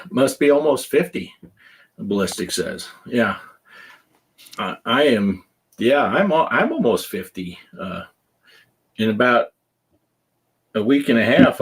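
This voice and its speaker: A man's voice: mid-range voice, expressive, slow pace, long pauses, breathy edge. A man in his fifties hosting a casual gaming podcast, joking around and reading chat comments aloud. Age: 50s. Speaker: podcast host.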